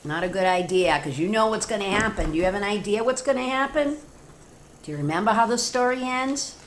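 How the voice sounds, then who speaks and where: A woman teacher reads a picture book to children in a mid-pitched voice, stepping out of the story for chatty asides. She speaks playfully and straight to her young listeners, on an amateur recording with faint background noise. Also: expressive, fairly quick.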